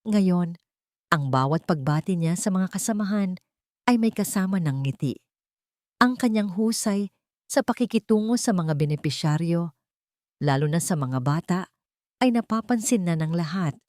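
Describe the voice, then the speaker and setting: A woman's voice: fairly low pitch, animated, normal speed, pauses often, darkish tone, dry recording, studio quality. A woman reading a scripted love story as a dramatic narrator.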